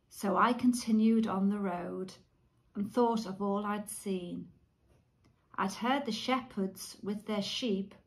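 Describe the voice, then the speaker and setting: A woman reciting a storytelling ballad in verse. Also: mid-range voice. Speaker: church group leader reading aloud.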